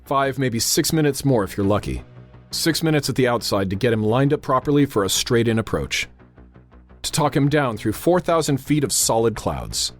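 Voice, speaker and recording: Over a music bed, a tense airfield tower controller in a radio drama. He is authoritative and urgent, with clipped delivery at a middle pitch. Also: very animated, moderate pace. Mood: grave suspense. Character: tense, commanding male airfield tower controller narrating a crisis. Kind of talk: dramatic second-person radio drama narration and dialogue.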